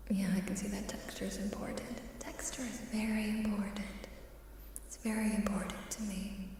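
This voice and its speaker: A soft-spoken woman chatting playfully and coyly in an ASMR style, often dropping into a whisper. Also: medium pitch, flat delivery, moderate pace. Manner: playful, coy. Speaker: ASMR live streamer. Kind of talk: ASMR casual chat with whispering. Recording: reverberant hall-like echo, faint hiss, distant.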